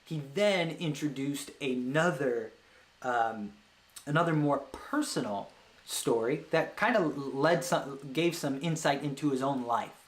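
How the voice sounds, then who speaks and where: Higher-voiced man talking casually, roomy echo. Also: expressive, a little slow. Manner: rambling, thinking aloud, checks in with "right?". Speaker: host of a business book read-along show, web agency owner. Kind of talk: casual explanatory talk with read-out book passages. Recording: roomy, echoey sound.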